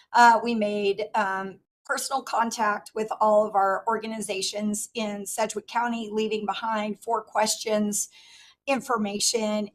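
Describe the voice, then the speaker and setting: A woman in her fifties speaks with a scratchy, hoarse voice, testifying earnestly over a remote call with the odd filler. Her pitch sits mid-range for a woman. A faint rumble runs underneath. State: hoarse, lost voice. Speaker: regional director testifying. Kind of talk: formal testimony. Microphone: remote call audio.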